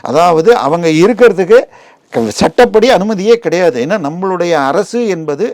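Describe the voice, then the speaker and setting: A man's voice: high voice, animated, moderate pace, a little mellow, dry recording, clean studio sound. A man with a Tamil Nadu accent giving digressive, explanatory commentary in an interview, often adding comparisons and figures. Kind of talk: explanatory news interview talk.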